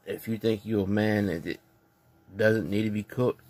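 Earnest low-voiced man vlogging. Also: fairly even intonation, moderate pace, a little mellow. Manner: earnest, preachy, repeats himself. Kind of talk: casual opinion vlog.

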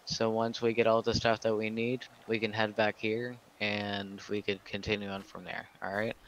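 A man casually giving instructions in character as a group leader in a roleplay game, with halting, measured phrasing. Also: fairly low pitch, level tone, lo-fi sound.

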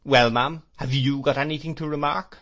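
A man's voice: medium pitch, fairly even intonation, normal speed, fairly bright tone, muffled recording, pitched above the performer's own voice, close to an everyday voice. A man reading an audiobook, voicing a fierce and outspoken elderly aunt who scolds emphatically. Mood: brisk.

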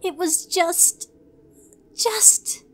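A woman's voice: very high-pitched, very slow, slight background noise, full character voice. A woman voicing an earnest, distraught young pony princess in a fan fiction audio drama. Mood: stunned, near whisper.

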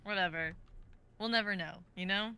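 A woman playing a dry, dismissive stoned character in an amateur script reading, blunt and deadpan. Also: medium pitch, normal speed, crowd noise, lightly acted. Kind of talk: amateur dramatic script reading over video call.

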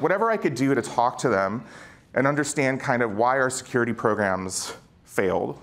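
Informal man giving a keynote. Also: medium pitch. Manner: conversational, slangy, peppered with rhetorical check-ins. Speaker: keynote speaker, former security chief. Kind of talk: informal conference talk. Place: on stage in a conference auditorium.

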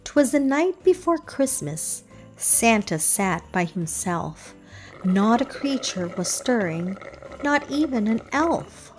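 Female children's book narrator: expressive verse reading, unhurried pace, lively pitch, music underneath. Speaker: storybook narrator. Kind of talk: children's read-aloud, scripted narration.

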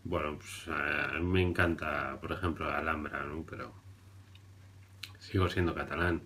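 A man in his thirties from Barcelona with a very deep voice, talking in a dry, sarcastic way. He hesitates and fills gaps with "mm" as he mocks the clips he plays. He is recorded on a webcam at his desk. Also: fairly even intonation, measured pace, pushed and bright. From Barcelona, Spain. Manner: dry, ironic, hesitant with fillers. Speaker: video commentator. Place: indoor room before a printed backdrop.

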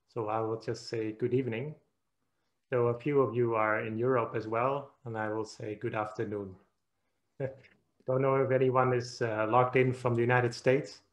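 Soft-spoken monk. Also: male voice, mid-range voice. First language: Dutch. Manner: gentle, hesitant, many fillers, soft laughs. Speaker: Buddhist monk teacher. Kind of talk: online Dharma talk. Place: quiet room at home. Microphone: webcam on video call.

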